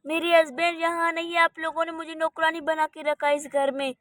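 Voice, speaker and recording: Very high voice, woman playing bossy cartoon daughter-in-law, spiteful, insulting, dry close sound. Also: level tone, brisk, fairly bright tone, no echo. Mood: accusing, sulky. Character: spiteful, quick-tempered daughter-in-law in a village household. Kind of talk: children's cartoon story acting.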